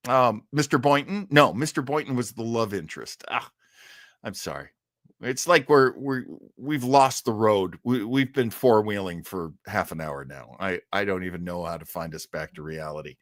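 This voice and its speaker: A man's voice: fairly low pitch, animated, moderate pace, fairly bright tone, dry recording, studio quality. A middle-aged man hosting a live stream, chatting casually and reading viewer comments in a rambling, enthusiastic way with frequent hesitations. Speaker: live-stream host of an old-time radio show.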